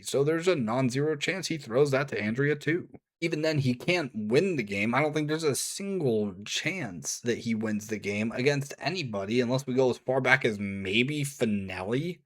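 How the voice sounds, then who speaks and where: Casual male reviewer with a strained voice. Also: mid-range voice. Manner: casual, with offhand asides. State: voice strained and hoarse. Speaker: video reviewer. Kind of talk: scripted review narration.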